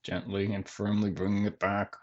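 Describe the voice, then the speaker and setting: A man leading a guided body scan meditation in gentle, measured phrases with long pauses between them. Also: on the low side, little pitch movement, dull audio. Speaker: meditation teacher.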